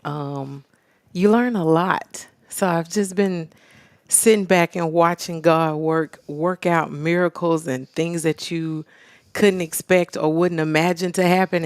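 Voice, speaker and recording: A woman from Navasota, a soft-spoken and earnest guest on a talk show. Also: low-pitched, dry recording, clean studio sound. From Navasota, Texas. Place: studio.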